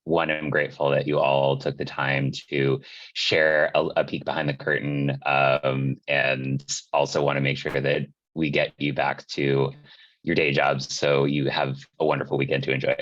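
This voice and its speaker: A man moderating a panel over a video call, with a measured, courteous manner. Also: rumbling low, dry recording. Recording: dull dry video call audio.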